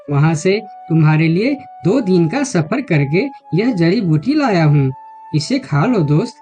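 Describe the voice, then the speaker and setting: Woman as faithful elephant, earnest, children's cartoon, soft dark timbre, low pitch. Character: loyal, earnest male elephant friend. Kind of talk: children's cartoon story dialogue.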